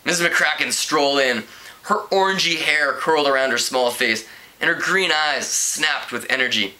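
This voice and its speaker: Male amateur storybook reader, sharp bright tone, slightly high pitch, lively delivery with occasional stumbles. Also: moderate pace, poor audio. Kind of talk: reading a teen novel aloud.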